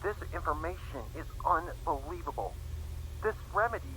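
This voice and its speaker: Man plays earnest pony researcher logging experiments, sharp and forceful delivery, deliberate clinical wording, faint noise behind. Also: fairly high pitch, expressive, rough recording. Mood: focused, amazed. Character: studious female pony scientist recording experiment logs. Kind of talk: dramatic fan fiction audio narration.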